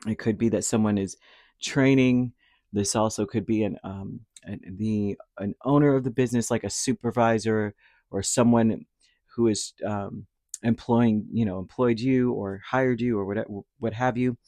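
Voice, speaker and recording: A man giving a casual spoken tarot reading, rambling and reassuring, his throat dry and a little hoarse after a cold. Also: on the low side, steady tone, normal speed, a little mellow, no room sound. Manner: rambling, reassuring, with many fillers and restarts. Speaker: tarot reader.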